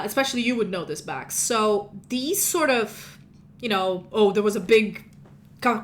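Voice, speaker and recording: A woman's voice: a little high, a little hiss or hum. A woman whose first language is Russian, narrating as game master in a rambling, chatty way, often correcting herself. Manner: chatty, rambling, self-correcting, laughs at own slips.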